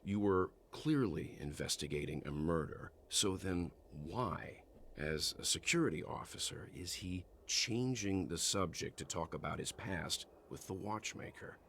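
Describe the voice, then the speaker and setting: Older male game companion. Very deep gravel, thoughtful and measured, faint ambient noise behind. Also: lively intonation, fairly bright tone, exaggerated voice. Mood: suspicious, analytical. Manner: composed, deliberate, mentor-like. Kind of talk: scripted video game voice acting.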